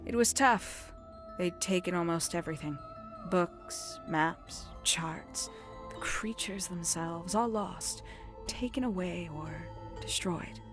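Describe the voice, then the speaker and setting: An actress playing an enigmatic, brooding woman in a video game, telling her story in a measured, theatrical way with dramatic pauses. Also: fairly low pitch. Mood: solemn, wistful. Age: adult, older than nineteen. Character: enigmatic, brooding woman recounting a secret family past. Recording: background music under the dialogue.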